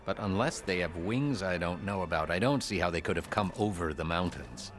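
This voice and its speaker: An actor playing an exasperated official in a video game, speaking in a formal, articulate and wry way. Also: male voice, low-pitched, expressive, fairly quick. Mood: earnest, frustrated. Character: exasperated male official opposing a troublesome rival. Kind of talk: scripted video game dialogue.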